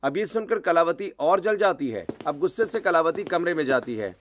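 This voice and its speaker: A man's voice: a little high, expressive, normal speed, a little hiss or hum. A man narrating a scripted moral story in a storyteller's role.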